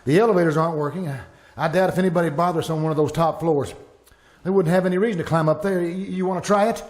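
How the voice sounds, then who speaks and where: A man's voice: fairly high pitch, steady tone, fast talker, darkish tone, natural voice. A man playing an anxious plague survivor who narrates his ordeal in an old-time radio thriller, performed live. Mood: panicked, urgent. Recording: live stage recording with music.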